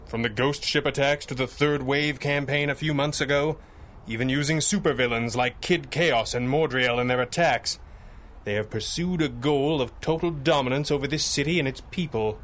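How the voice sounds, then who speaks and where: An actor playing a stern, vigilant masked superhero in a 1930s-style radio serial, speaking in grave, measured, formal sentences. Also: male voice, medium pitch, a bit bright, a little hiss or hum, close to an everyday voice. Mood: grave, determined. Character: stern, vigilant masked male superhero crime-fighter leader.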